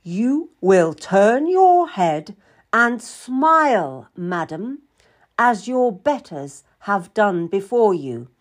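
A woman's voice: medium pitch, big pitch swings, very slow. A woman storyteller voicing a domineering, boisterous king with a commanding, condescending and emphatic way of talking. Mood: stern, menacing.

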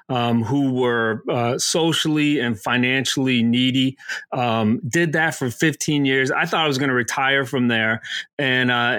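A middle-aged man from Illinois answering interview questions in a relaxed, easygoing way. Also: medium pitch, no echo. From Aurora, Illinois. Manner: relaxed, easygoing, laughs along. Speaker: guest, Amazon seller.

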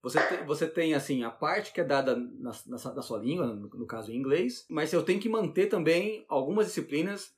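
Fast-talking Brazilian man, rambling. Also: fairly high pitch, expressive. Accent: Brazilian Portuguese. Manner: rambling, many restarts and "né" tags. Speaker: interview guest, teacher. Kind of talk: casual conversational interview.

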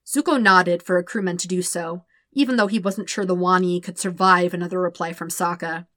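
Lady reading a fanfic audiobook, bright voice, expressive, real dry sound. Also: lowish voice, brisk, no echo. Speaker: podfic narrator. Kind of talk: expressive scripted fiction narration.

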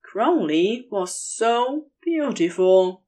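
A woman's voice: medium pitch, lively intonation, extremely slow, a little mellow. A woman narrating scripted romantic fan fiction in an intimate storytelling style.

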